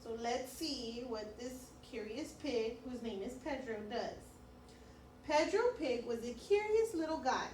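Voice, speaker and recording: A woman's voice: fairly high pitch, expressive, unhurried, darkish tone. A woman speaking as a preschool teacher, reading and chatting simply for young children. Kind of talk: children's read-aloud and lesson chat. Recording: roomy, distant mic, faint noise.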